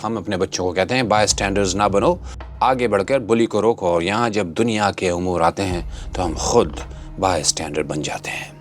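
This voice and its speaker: A man's voice: low voice, moderate pace. A man hosting a scripted opinion commentary, speaking in a dramatic, rhetorical and sardonic manner. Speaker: host of a commentary channel. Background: background music.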